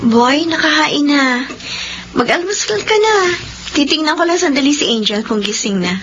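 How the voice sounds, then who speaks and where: A woman playing a gentle young wife and mother with a Filipino accent, soft and affectionate in a sentimental radio drama. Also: high voice, expressive, moderate pace, music in the background. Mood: caring. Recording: dull lo-fi audio with faint noise.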